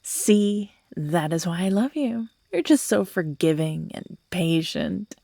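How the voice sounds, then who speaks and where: A woman acts out an obsessive yandere lover in an intimate audio roleplay. The voice is low-leaning and slow, with creaky edges and frequent breathy whispers. She coos with tender menace, halting as if barely holding herself together. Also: expressive, dark tone, no echo, clean studio sound, heavily acted. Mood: manipulative, lovestruck. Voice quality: breathy, often dropping into whispers. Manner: slow, halting, cooing, broken by giggles and sighs.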